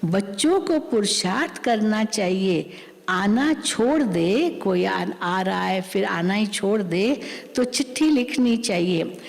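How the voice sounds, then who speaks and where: A woman spiritual teacher giving a sermon, explaining a scripture reading slowly and repeating her points. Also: medium pitch, lively intonation, gravelly. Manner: slow, repetitive, explanatory. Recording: long hall reverb.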